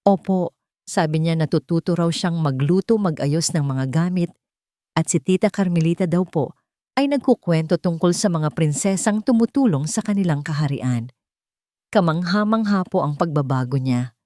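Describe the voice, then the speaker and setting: A woman narrator plays an upbeat, polite kindergarten director in an audio drama. The delivery is enthusiastic, the sound mellow and dry. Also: on the low side, normal speed, no echo, natural voice. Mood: excited, reporting. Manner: lively, enthusiastic. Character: cheerful, polite female kindergarten director on the phone.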